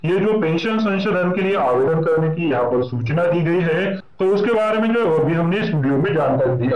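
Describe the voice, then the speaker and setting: Male news voice-over host, slightly high, steady explanatory delivery, dull lo-fi echoey sound with crowd noise behind. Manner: repeats stock phrases, steady explanatory reading. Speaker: pension news channel host. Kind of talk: voice-over reading news updates.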